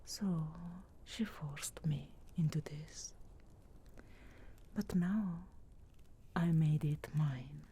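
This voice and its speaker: A woman voicing a sarcastic, guardedly caring witch of the wilds in a slow, breathy whisper for an ASMR fantasy roleplay. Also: sits low, warm tone, a little hiss or hum, full character voice. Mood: guarded, wry. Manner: slow, measured, archly formal phrasing.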